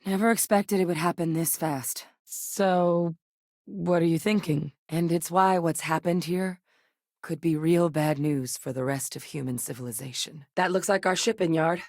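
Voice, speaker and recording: An actress playing a steady, determined female military scientist in a video game, with a serious, matter-of-fact manner. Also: fairly low pitch, normal speed, dry recording, clean studio sound. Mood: measured, serious.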